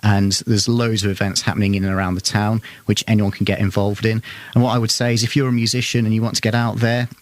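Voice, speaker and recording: A man's voice: on the low side, flat delivery, fast talker, dry recording. A man chatting casually as a guest in a radio interview. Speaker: interview guest, amateur band keyboardist. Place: radio studio. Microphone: close studio microphone.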